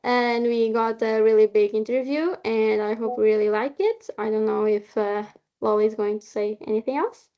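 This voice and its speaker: A woman who is not a native English speaker gives an informal, halting presentation over an online call as a film maker. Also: fairly high pitch, steady tone. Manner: halting, searching for words. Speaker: short film maker introducing the film. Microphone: video call. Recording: dull, lo-fi online call audio.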